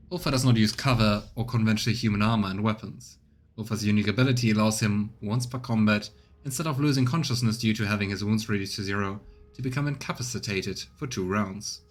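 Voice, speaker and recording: A man streaming a video game, commenting casually and reading the game's narration aloud. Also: lowish voice. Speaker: let's play streamer. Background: game music.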